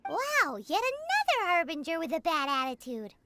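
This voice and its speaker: A woman voicing a tiny, excitable, blunt and fretful fairy companion in a video game, squeaky and inquisitive. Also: normal speed, very noisy, exaggerated voice. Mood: curious, grumbling. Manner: inquisitive, exclamatory. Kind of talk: video game character acting. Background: game music.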